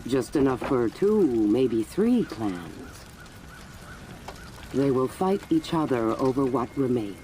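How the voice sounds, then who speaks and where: An actor playing a cold, calculating warlord who preaches war in a measured, deliberate way for a video game cutscene. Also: male voice, medium pitch, big pitch swings, warm tone, slight background noise. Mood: cold conviction. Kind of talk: cinematic video game acting.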